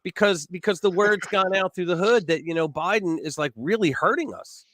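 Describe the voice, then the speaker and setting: A man's voice: sits high, moderate pace, a bit bright, no echo. A man hosting a casual political call-in show, who rambles and repeats words as he builds his points.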